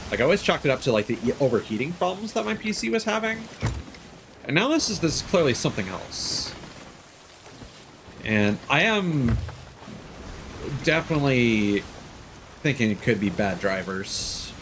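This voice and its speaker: A man's voice: mid-range voice, sing-song, moderate pace, long pauses, background noise. A man giving casual live commentary as a video game streamer, grumbling and swearing in exasperation as he talks.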